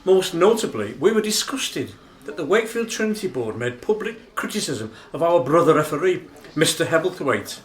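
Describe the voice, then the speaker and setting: An actor playing a formal, indignant dinner speechmaker in a radio play, declamatory and emphatic. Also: male voice, on the high side, very animated, moderate pace. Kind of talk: theatrical radio play monologue. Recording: roomy, echoing sound.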